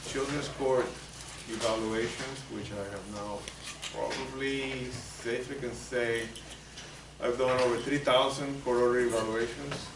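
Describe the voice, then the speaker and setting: A man in his sixties, a native Spanish speaker with a Spanish accent, lecturing lawyers as a psychologist in a soft, hesitant way with many fillers. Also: mid-range voice, fairly even intonation, a little slow. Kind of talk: continuing-education lecture. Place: meeting room. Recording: echoey room, mic far off, noisy.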